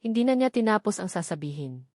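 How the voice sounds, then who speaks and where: A woman's voice: medium pitch, big pitch swings, quick pace, darkish tone, dry recording, clean studio sound. A woman reading a scripted romantic drama as a story narrator. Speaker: narrator of audio love stories.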